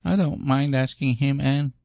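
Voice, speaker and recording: A man's voice: medium pitch, fairly even intonation, normal speed, gravelly, darkish tone, no echo, studio quality, pitched above the performer's own voice, lightly acted. A man voicing a guilty, eager little boy in a storybook, childlike, earnest and confessional. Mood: relieved, eager. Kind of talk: storybook character voice.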